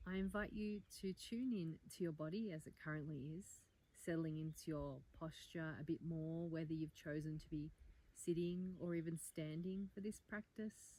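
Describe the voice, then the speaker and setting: A woman teaches a guided meditation in a slightly low, very mellow voice. She is calm and gentle, inviting the listener along. Her phrases are measured and leave room for pauses. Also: rough recording. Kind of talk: guided meditation, soft slow instruction.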